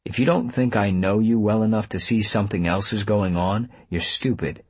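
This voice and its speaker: A man's voice: fairly low pitch, moderate pace, a little mellow, husky, no room sound, pitched above the performer's own voice. A man narrating a story, playing a patient but stubborn man who speaks directly and steadily. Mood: probing. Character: calm, stubborn, patient man pressing for answers.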